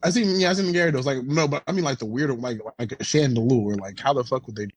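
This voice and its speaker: A man's voice: medium pitch, fast talker, a little hiss or hum. A man co-hosting a podcast, chatting casually with slangy, profane informality. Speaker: podcast co-host. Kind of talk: casual chat.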